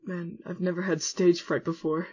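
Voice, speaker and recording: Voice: mid-range voice, flat delivery, normal speed, dark tone, husky, close to an everyday voice. An actor voicing a laid-back male skeleton, a wry and reflective older brother telling his story in a comic dub. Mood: wry, reluctant. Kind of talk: scripted first-person storytelling in a comic dub. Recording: muffled audio with music underneath.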